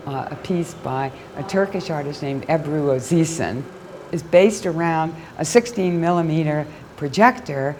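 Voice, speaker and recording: A woman's voice: deep voice, darkish tone, a little hiss or hum. A woman curator explaining an exhibition in an interview. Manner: explanatory, gallery-tour talk.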